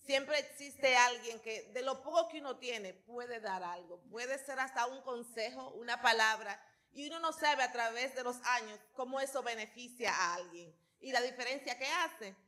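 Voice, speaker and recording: A middle-aged Dominican woman gives a public address from a church stage. Her voice is a little high, with a bright, forceful edge. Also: normal speed. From Dominican Republic. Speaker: town mayor, guest speaker. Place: large church auditorium stage. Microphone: handheld microphone.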